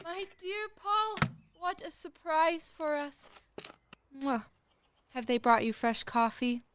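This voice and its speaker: A woman's voice: sits high, expressive, slow pace, noisy background. An actress playing a fussy, genteel, socially ambitious middle-aged wife and mother in a radio play, with an affected, gushing, chiding manner. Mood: effusive, indignant.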